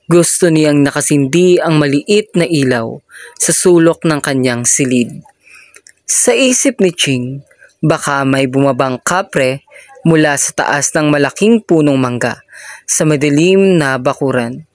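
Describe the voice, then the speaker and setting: A person reading a children's story aloud in a measured, deliberate storytelling manner. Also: mid-range voice, no echo, studio quality.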